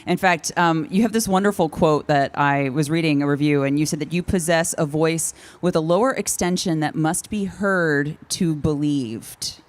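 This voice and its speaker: A woman's voice: low voice, moderate pace, few pauses. A woman hosting a casual interview, chatty and enthusiastic in the way she puts questions. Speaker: interviewer, host.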